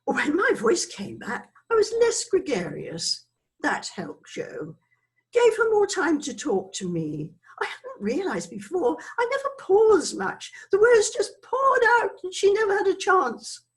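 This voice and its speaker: A woman's voice: very high-pitched, huge pitch swings, unhurried, exaggerated voice. An actress playing a chatty, frivolous older British woman who rambles through her memories in a theatrical dramatic monologue. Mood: rambling, amused. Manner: rambling, frivolous, digressive, self-excusing. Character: chatty, scatty older Englishwoman named Isabella, reminiscing.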